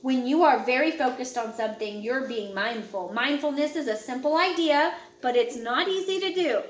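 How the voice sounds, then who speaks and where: A woman narrating a children's picture book in a measured, instructional way, phrasing clearly for young listeners. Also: high-pitched, reverberant. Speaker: audiobook narrator.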